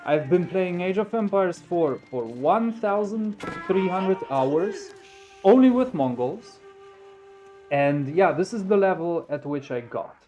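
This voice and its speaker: A young man talks in a relaxed, reflective way, as though thinking aloud. His voice sits a touch high for a man. Soft music plays quietly behind him. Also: slow, highs cut off. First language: Romanian. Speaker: gaming YouTuber. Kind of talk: casual commentary over a game replay.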